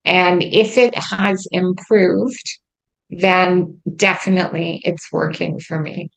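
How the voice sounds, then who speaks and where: A woman hosting a casual chat, with a gravelly, creaky edge to her voice. She talks earnestly and chattily, filling her pauses with ums. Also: lowish voice, fairly even intonation, low-quality recording. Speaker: host. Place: lives in Quebec.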